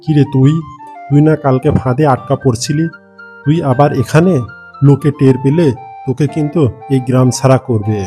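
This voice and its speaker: A man's voice: medium pitch, moderate pace, lightly acted. A man voicing a kind, lonely village farmer in a cartoon, musing in plain rustic phrasing. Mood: soothing, cautioning. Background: background music.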